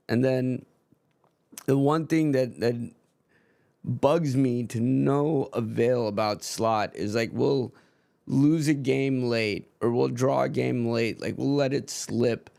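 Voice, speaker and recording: Gravelly, ranting football streamer man. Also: mid-range voice, takes it slowly, darkish tone, dry recording. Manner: ranting, frank, swears freely. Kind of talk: casual live-stream football watchalong chat.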